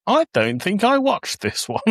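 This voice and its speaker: A man's voice: high voice, wildly swooping pitch, moderate pace, a bit bright, dry recording, clean studio sound. A man co-hosting a podcast, chatting casually and reading summaries aloud in a jokey, playful way. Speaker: podcast co-host.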